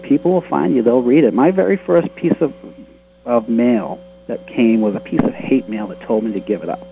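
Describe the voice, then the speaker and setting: Boston-accented man on a tinny line. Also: mid-range voice. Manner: earnest, rambling with restarts. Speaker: webcomic creator guest. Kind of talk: casual interview talk. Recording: tinny phone-line sound.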